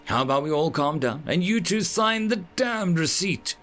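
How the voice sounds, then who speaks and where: A man's voice: on the high side, lively intonation, moderate pace, fairly bright tone, soft background music, voice pushed up. Man doing a brusque woman mechanic. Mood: impatient. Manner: dry, impatient. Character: brusque young woman mechanic, wry and impatient.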